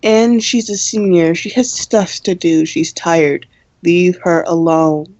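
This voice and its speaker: A woman playing a brisk, deadpan student co-hosting a campus radio show in a radio play, formal on announcements and wryly exasperated in her scolding. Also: lowish voice, no room sound, muffled recording. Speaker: radio co-host character. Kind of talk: radio play in campus news broadcast style.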